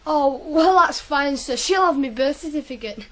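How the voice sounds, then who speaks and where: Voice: sits high, lively intonation, moderate pace, fairly bright tone. A performer playing a small, eager, nervous fourteen-year-old boy, polite and hesitant in a radio drama.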